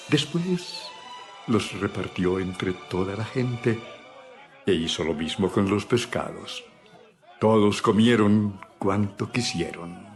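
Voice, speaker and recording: A man reading scripted Bible narration with solemn, deliberate phrasing. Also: low-pitched, gravelly. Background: background music.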